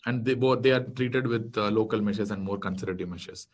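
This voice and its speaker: A man's voice: lowish voice, fast. A man in his thirties with an Indian English accent, a native Tamil speaker, giving a casual online medical lecture with many fillers and restarts. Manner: explanatory, conversational, many fillers and self-corrections. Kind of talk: online exam-prep lecture. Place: small indoor room.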